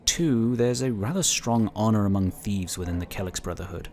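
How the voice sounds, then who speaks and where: Man playing a low-voiced, measured inquisitor. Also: darkish tone, lightly acted. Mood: wry, informative. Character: measured, wry male inquisitor, cautious and protective. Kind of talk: sci-fi audio drama acting.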